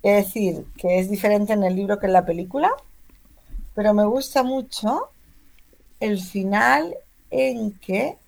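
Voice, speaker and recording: A woman with an accent from Spain, speaking as an interviewed novelist in a talkative, expressive, conversational way. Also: medium pitch, unhurried, creaky, pauses often. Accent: Spanish, from Spain.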